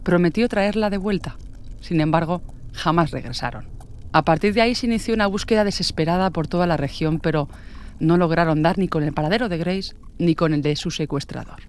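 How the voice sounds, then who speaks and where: A man's voice: a little high, fairly quick, a little hiss or hum. A man reading a scripted true-crime narration as the storyteller.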